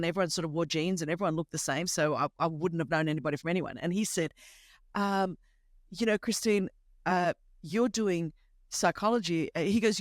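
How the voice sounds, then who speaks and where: A woman in her fifties with an Australian accent whose first language is Greek, telling a lively, humorous personal story as a podcast host. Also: lowish voice, no echo, studio quality. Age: 50s. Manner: animated, emphatic, humorous storyteller. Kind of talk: conversational testimony storytelling.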